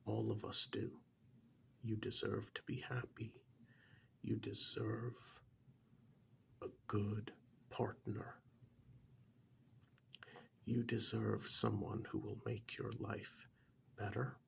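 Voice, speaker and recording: A man playing a calm, blunt couples therapist in a soft-spoken ASMR role-play, half-whispering with breathy, deliberate, hesitant pauses. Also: fairly low pitch, a little hiss or hum, exaggerated voice. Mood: reassuring. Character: calm, blunt male couples therapist counselling married clients. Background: soft clicking of pencil tapping.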